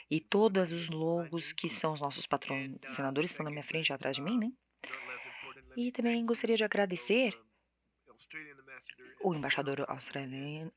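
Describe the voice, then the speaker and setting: A woman opening a lecture event as moderator, giving formal thanks and rephrasing herself, with tag questions along the way. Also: on the low side. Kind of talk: formal welcome speech. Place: auditorium stage.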